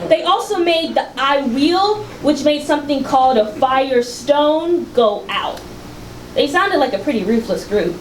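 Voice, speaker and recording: Woman acting prim, high-voiced future researcher, echoey room. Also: animated, a little slow, far from the mic. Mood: formal, instructive. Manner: precise, formal, didactic. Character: prim, rule-abiding female researcher of a far-future utopia, anxious. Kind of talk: staged reading of a play. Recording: echoey, noisy live room recording.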